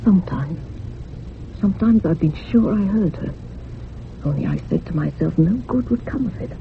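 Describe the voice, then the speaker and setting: An actress playing a troubled, gossipy older housekeeper in a radio thriller, rambling dramatically and sometimes dropping to a hush. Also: female voice, fairly low pitch, animated, normal speed, gravelly. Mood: uneasy, guarded. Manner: rambling, dramatic, hushed at moments. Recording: muffled phone-like radio recording with hiss.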